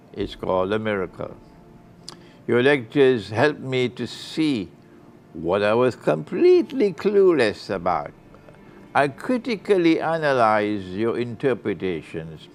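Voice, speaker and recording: A male Islamic scholar giving a lecture, reading a student's letter aloud in an unhurried, deliberate way with occasional soft laughs. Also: mid-range voice, creaky, slight background noise.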